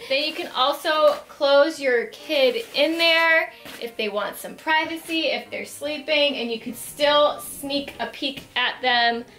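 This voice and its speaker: A woman's voice: sits high, takes it slowly, sharp tone, reverberant. A young woman vlogger chatting casually as she shows off a product at home, full of "like" and "um". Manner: chatty, upbeat, filler-heavy. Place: home room with wooden floor.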